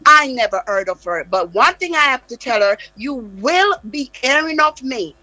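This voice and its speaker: Passionate high-voiced Jamaican woman. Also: animated, normal speed, pushed and bright, dry recording, muffled recording. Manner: passionate, insistent, emphatic. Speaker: interview guest. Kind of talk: heated interview talk. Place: radio studio.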